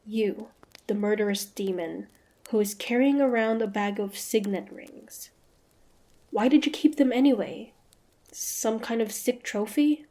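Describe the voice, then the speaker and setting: A woman playing a wary, sharp-tongued young demon hunter with a prickly but grudgingly softening manner, in a fantasy roleplay audio drama. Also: mid-range voice, a little slow, close to an everyday voice. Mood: suspicious. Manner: guarded, sharp-tongued, tsundere.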